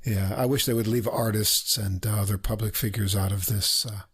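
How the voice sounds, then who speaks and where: Husky low-voiced man, relaxed. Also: brisk. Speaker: podcast co-host. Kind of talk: casual chat.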